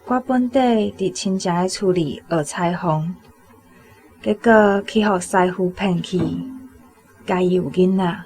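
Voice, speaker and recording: An actress with a Taiwanese accent playing a gentle, sorrowful young woman in a radio drama, soft-hearted and unhurried. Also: on the low side, close to an everyday voice. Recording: music behind the voice.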